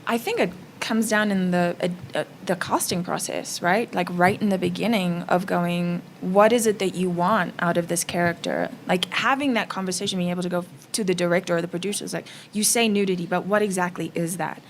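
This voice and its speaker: A woman's voice: on the low side, steady tone, brisk, hardly any pauses. A woman panelist speaking conversationally in a casual discussion, slipping into imagined dialogue as she explains. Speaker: panelist, actress. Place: festival roundtable, live event. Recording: noisy room ambience.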